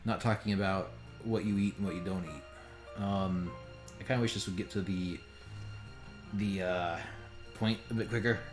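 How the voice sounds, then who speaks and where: A man's voice: low voice, fairly even intonation, normal speed, long pauses. A man giving rambling, opinionated, casually sweary commentary as he streams a game. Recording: roomy sound under game audio. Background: game music.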